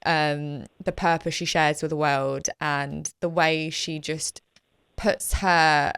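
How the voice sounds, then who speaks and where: A young woman hosting a podcast, chatty and animated, with a voice that sits a little low for a woman. She pokes fun at herself as she talks. The sound is dry and close, with no room echo. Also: slow, clean tone. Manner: chatty, animated, self-deprecating. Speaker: podcast host. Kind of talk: casual chat.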